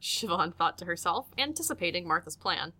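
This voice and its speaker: A woman's voice: lowish voice, big pitch swings, brisk, sharp tone. A woman reading scripted narration for an audiodrama as its storyteller.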